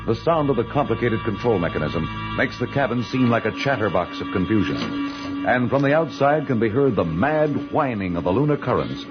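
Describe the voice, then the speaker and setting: An actor as the grandiose, theatrical narrator of an old radio space adventure serial. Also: male voice, medium pitch, animated, brisk, lightly acted. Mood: urgent, suspenseful. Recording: dull vintage radio recording, loud music.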